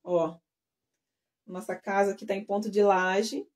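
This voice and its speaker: A woman's voice: mid-range voice, steady tone, heavy background noise. Chatty woman teaching sewing live. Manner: chatty, addressing viewers as girls; talks while sewing, with trailing pauses. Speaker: sewing instructor.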